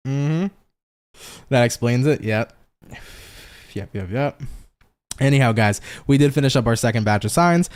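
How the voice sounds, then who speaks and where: A man's voice: mid-range voice, measured pace, long pauses, a little mellow. New york guy doing a tarot stream, chatty, swears a lot, talks right to chat. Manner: chatty, swears freely, talks straight to viewers. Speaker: tarot reader. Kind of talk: casual live-stream tarot reading.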